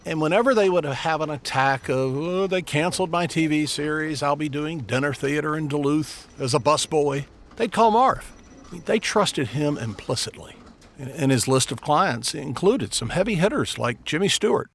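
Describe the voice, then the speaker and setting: An actor playing a knowing Hollywood historian giving a deliberate, gossipy documentary interview. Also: male voice, fairly high pitch, expressive, fairly bright tone, a little hiss or hum.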